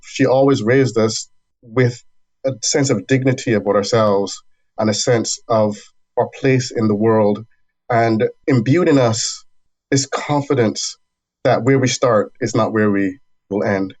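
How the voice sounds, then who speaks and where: A man from Barbados, a university dean being interviewed, talking in a thoughtful, measured way with small restarts. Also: fairly low pitch, a little mellow, low-quality recording. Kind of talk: reflective interview storytelling.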